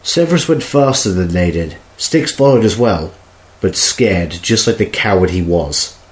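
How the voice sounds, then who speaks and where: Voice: low voice, expressive, a little slow. A narrator reading scripted story narration for a fan audio drama.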